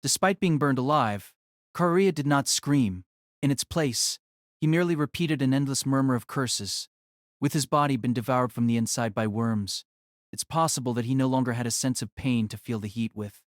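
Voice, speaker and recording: A man's voice: mid-range voice, moderate pace, dry recording, studio quality. A man reading scripted novel narration for an audio drama.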